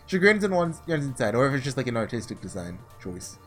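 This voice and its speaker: A man's voice: mid-range voice, very animated, fast, a bit bright, faint music behind. A man doing let's-play commentary, reading a visual novel aloud dramatically and tossing in offhand, sarcastic asides. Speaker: gaming host reading aloud and reacting.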